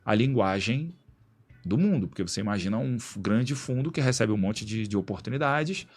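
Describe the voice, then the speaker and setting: A man being interviewed as a guest in a radio studio, explaining in a relaxed, slangy way with many restarts. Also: lowish voice, moderate pace. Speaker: guest, executive director of an institute.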